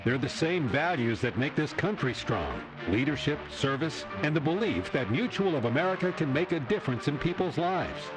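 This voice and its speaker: A man's voice: a little high, very animated, normal speed, few pauses, rough recording. A man reading scripted radio commercials as an announcer. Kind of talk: scripted radio advertisement read. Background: music bed.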